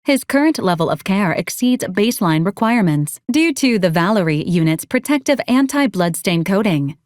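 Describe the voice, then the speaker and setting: Game role: actress as polite female service robot, literal and deadpan, formal clipped phrasing, medium register, dry studio-like sound. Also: mid-range voice, dry recording, lightly acted. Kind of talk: scripted video game character lines.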